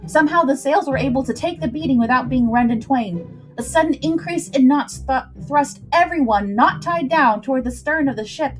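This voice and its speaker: A woman's voice: high-pitched, normal speed, faint music behind, poor audio. A woman storyteller reading scripted adventure fiction aloud in a dramatic narrating style. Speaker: storyteller, livestream host.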